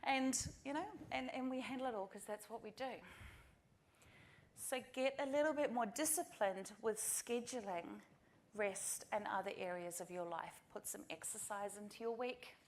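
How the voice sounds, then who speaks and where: A middle-aged woman with a New Zealand accent speaks at a conference through a presentation mic. Her pitch sits in the middle range, and she talks to the audience in an earnest, practical way. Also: moderate pace. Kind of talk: conference talk.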